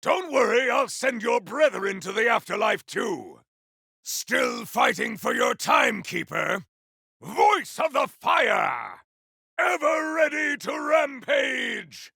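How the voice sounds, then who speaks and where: Zealous video game champion: high-pitched for a man, raspy, bombastic and theatrical, swooping boldly through boastful combat barks. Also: very animated, slow pace, sharp tone, dry recording, raised voice, full character voice. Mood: defiant, boastful. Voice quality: gravelly, raspy. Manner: bombastic, archaic, theatrical declamation. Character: zealous, boastful male warrior champion, grandiose and battle-hungry.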